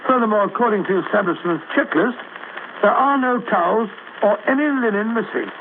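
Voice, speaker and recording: A baffled, loyal older British gentleman in a vintage radio mystery. His voice is high and creaky, full of bluster. The recording is narrow and tinny. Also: animated, fairly quick, noisy background. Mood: loyal, weary. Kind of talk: 1940s radio acting.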